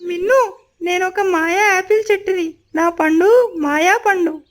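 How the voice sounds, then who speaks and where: A woman's voice: very high-pitched, a little slow. A woman voicing a kindly magical apple tree in a children's cartoon, with a coaxing manner. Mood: reassuring. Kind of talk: children's cartoon story voice acting.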